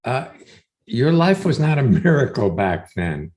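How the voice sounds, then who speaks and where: Slow, low-key co-host. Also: very animated, a little mellow. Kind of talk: casual radio chat.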